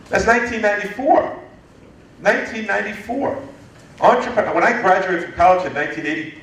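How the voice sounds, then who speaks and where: A man in his fifties from the United States, answering an audience question at a lecture in a lively, joking way with many restarts. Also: on the high side, slight background noise. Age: 50s. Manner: animated, joking, frequent restarts. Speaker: guest speaker, entrepreneurship lecturer. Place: lecture hall. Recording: echoey hall from far off.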